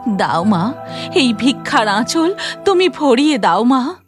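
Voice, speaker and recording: Woman acting a slow, high-voiced devout village mother. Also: big pitch swings, soft background music. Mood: reverent pleading. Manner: slow, emotional, imploring. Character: devout, pleading village mother praying to her goddess. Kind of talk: dramatised moral story cartoon.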